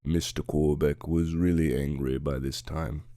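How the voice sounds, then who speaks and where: A man's voice: very deep voice, little pitch movement, measured pace, warm tone, dry recording, studio quality. A man reading scripted fiction as an audiobook narrator, in a dramatic storytelling style.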